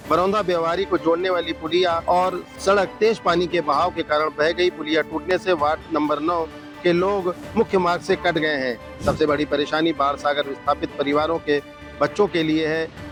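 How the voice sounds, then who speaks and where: Male news reporter, slightly high voice, scripted narration, faint music underneath.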